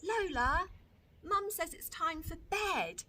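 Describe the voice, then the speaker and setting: A woman's voice: very high-pitched, expressive, slow, bright, forceful tone, voice pushed up, full character voice. A woman voicing a patient older brother in a storybook, reasoning and coaxing his little sister towards bed.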